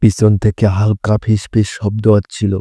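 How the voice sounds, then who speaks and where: A man's voice: lowish voice, completely flat delivery, moderate pace, dark tone. A man narrating a scripted first-person horror story.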